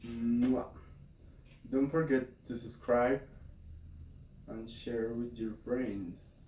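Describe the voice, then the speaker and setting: A man from Colombia, a native Spanish speaker, talking casually to his viewers in halting English with a Colombian accent from his bedroom. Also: medium pitch, steady tone, a little mellow, reverberant, far from the mic, slight background noise, low-quality recording. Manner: halting, informal English with slips. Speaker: video creator. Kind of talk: casual talk to camera. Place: bedroom, indoors.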